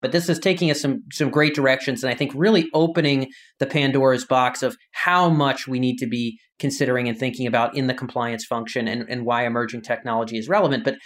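A man's voice: mid-range voice, fairly even intonation, fast, few pauses, a bit bright. A man hosting an interview, talking conversationally and repeating words as he starts sentences. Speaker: podcast host.

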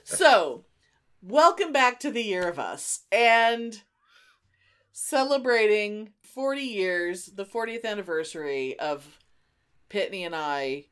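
A middle-aged woman co-hosting a podcast in casual, unscripted chat, animated and informal with easy swearing. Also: mid-range voice, slow, clear, resonant tone. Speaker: podcast co-host.

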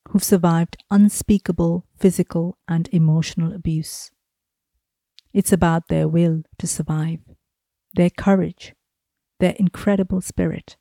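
A woman hosting a podcast, reading a measured, earnest introduction and then interviewing a guest. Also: on the low side, warm tone, no room sound, studio quality. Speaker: podcast host.

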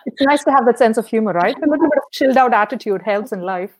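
A woman hosting a podcast interview, with upbeat, brisk wrap-up phrases. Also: on the high side, animated. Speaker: podcast host.

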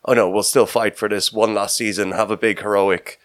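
A man giving casual sports analysis on a podcast, often halting as he thinks aloud. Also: lowish voice, little pitch movement, dry recording, studio quality. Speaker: podcast host.

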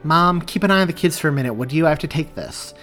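A man's voice: a little high, expressive, fast, a bit bright, soft background music, pitched above the performer's own voice. A man reading aloud as a wife and mother who grows irate and hurt, her voice near breaking. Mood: casual. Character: upset woman, wife and mother.

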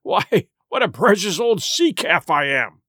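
A man's voice: high voice, huge pitch swings, a little slow, pushed and bright, breathy edge, no echo, clean studio sound, full character voice. A man voicing a hearty, jovial old male sea cook in a storybook reading, full of salty sailor slang.

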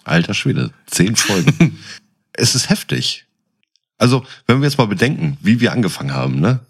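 Male podcast co-host, teasing and casual, low husky voice with lively swings. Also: normal speed. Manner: teasing, jokey. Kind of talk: casual joking banter.

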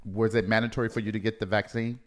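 Teasing low-voiced male podcast host. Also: level tone, fast, very noisy. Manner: teasing, playful questioning. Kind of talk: casual interview chat.

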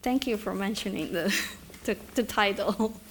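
A woman's voice: mid-range voice, a little slow, a little hiss or hum. Hesitant woman author. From China. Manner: soft-spoken, hesitant restarts, thoughtful. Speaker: author at a reading. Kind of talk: live book event Q&A and reading aloud.

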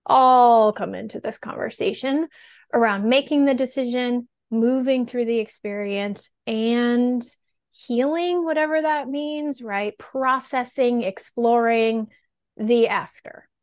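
A woman hosting a podcast interview, asking questions in a reflective, measured way. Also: fairly high pitch. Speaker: host.

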